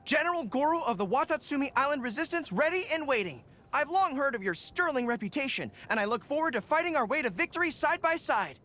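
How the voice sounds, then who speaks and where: A performer voicing an eager, disciplined young male general with dog ears and a tail in a video game, with a brisk military delivery. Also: sits high, sing-song, pushed and bright, heavily acted. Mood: keen, commanding. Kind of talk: video game character voice lines.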